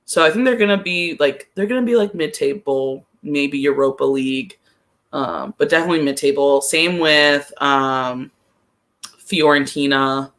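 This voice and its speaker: A podcast guest giving casual soccer commentary at a middling pitch. The delivery is conversational and a little hesitant, working thoughts out aloud with plenty of "like" along the way. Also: moderate pace, a bit bright. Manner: hesitant, thinking aloud, frequent use of "like".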